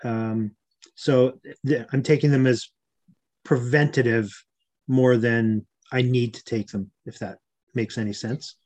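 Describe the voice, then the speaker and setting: A man in his early sixties, an interview guest, explaining health matters informally in a measured way. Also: mid-range voice, fairly even intonation, darkish tone.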